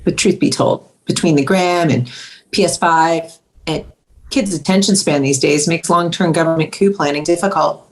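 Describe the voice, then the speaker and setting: Woman as snarky teen girl, kinda low voice, dry and flippant. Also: moderate pace. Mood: dry sarcasm. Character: witty, sarcastic sixteen-year-old girl prodigy. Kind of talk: script table read.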